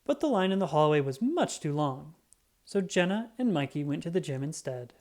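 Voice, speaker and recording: A woman narrating a children's book in an expressive, scripted read-aloud style, acting out the dialogue. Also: sits low, normal speed.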